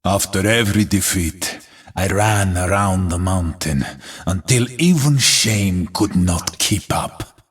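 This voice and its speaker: An actor playing a stoic, battle-weary warrior in a video game, speaking gravely and deliberately in measured, declamatory lines with a gravelly, husky voice. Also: male voice, low-pitched, heavily acted. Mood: solemn, resolute.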